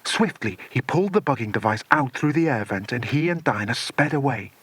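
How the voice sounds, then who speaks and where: A man reading a children's adventure story as a dramatic, expressive audiobook narrator. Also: medium pitch, fairly quick, husky, dry recording.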